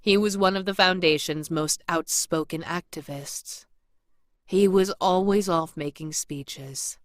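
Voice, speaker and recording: A woman's voice: on the low side, unhurried, dry recording, clean studio sound, natural voice. A woman playing an awkward, apologetic messenger in an audio drama, explaining things politely. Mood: explanatory. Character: awkward, apologetic woman bearing painful family news.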